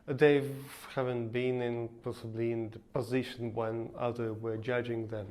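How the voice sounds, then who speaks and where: A man raised in Latvia speaks English with a Russian accent, his voice mid-pitched. He co-hosts a casual podcast chat, pausing often to search for the right words. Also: flat delivery, a little mellow. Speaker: podcast co-host.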